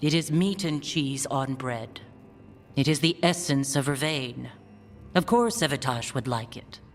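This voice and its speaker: An actress voicing a stern, proud middle-aged scholar mother in a video game, speaking formally and with measured restraint. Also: rumbling low, lively intonation, a little hiss or hum, heavily acted. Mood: cool, stern. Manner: formal, measured, clipped diction without warmth. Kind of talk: video game dialogue acting.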